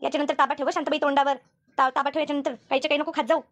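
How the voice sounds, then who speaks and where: A woman's voice: sits high, flat delivery, racing, a bit bright, dry recording. A woman voicing a bossy, scolding village friend in a comedy cartoon, with a rural Maharashtrian Marathi accent. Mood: admonishing. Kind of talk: comic cartoon dialogue.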